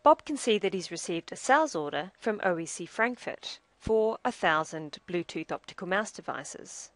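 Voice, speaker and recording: A woman narrating a scripted software tutorial as demo presenter, in a measured, explanatory way. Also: fairly low pitch, animated, no echo.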